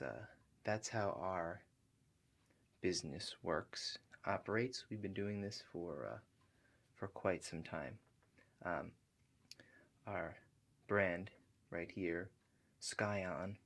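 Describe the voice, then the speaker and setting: A man doing a softly spoken ASMR roleplay as a calm relaxation agent, hushed and halting, with gentle check-in questions. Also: low-pitched, vocal fry. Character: calm, awkward house-call relaxation agent. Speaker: ASMR performer.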